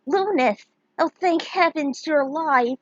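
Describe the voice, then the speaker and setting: A woman voicing an excitable young woman, emotional and exclaiming over her sweetheart. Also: high voice, unhurried, dry recording, muffled recording, pitched above the performer's own voice. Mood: overjoyed relief.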